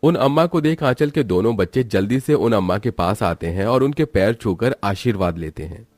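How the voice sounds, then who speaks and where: A man's voice: fairly low pitch, expressive, moderate pace, a little mellow, dry recording. A man reading scripted narration for a moral cartoon story.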